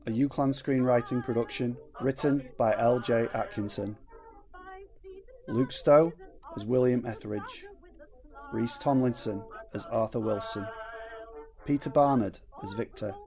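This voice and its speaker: A woman's voice: rumbling low, measured pace, pauses often, a crowd in the background. A woman reading scripted credits as an announcer for an audio drama. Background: music.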